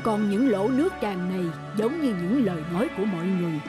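A woman voicing a calm, wise middle-aged fisherman father in a cartoon folk tale, speaking patiently and instructively. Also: medium pitch, fast, music in the background, natural voice.

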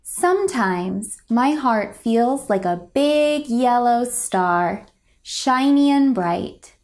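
A young woman reads a children's storybook aloud at a very slow, deliberate pace. Her voice sits a little high, and she delivers the lines gently and expressively, as for small listeners. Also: expressive. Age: young adult. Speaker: picture book reader. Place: indoors, a home room.

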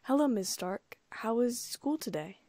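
A woman voicing a gentle, fatherly middle-aged male gardener in a read-aloud story. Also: on the high side, expressive, unhurried, no echo, pitched above the performer's own voice. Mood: kindly.

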